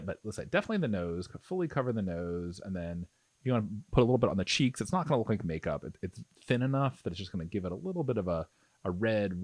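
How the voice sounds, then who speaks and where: A man's voice: medium pitch, no echo. A man giving a casual, step-by-step instructional voice-over in an informal, explanatory way. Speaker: miniature painting tutorial host.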